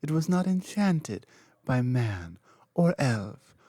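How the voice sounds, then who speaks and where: A woman voicing an ancient, dominant dragon queen who speaks in a measured, grave way and dips into soft whispers, in an ASMR roleplay audio. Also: a bass voice, very animated, dry recording, studio quality, heavily acted.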